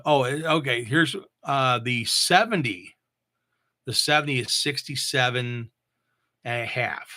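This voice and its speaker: Bright-voiced man, halting casual gear talk. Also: medium pitch. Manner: halting, repeats and thinks aloud while reading specs. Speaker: mobile DJ reviewing audio gear. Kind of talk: casual unscripted product talk.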